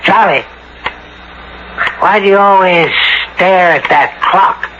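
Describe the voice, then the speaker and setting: An actor playing a menacing, gloating old farmer uncle in a radio drama, with a sly, mock-polite manner. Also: male voice, sits high, takes it slowly, fairly bright tone. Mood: falsely friendly. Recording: dull, noisy old radio broadcast.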